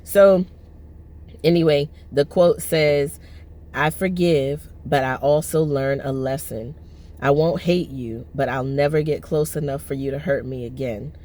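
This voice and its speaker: A woman in her thirties vlogging from her parked car, her voice hoarse and lowered from allergies, rambling with many fillers and restarts. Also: measured pace, dark tone. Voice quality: lowered, husky voice. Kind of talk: casual personal vlog. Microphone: phone on the dashboard.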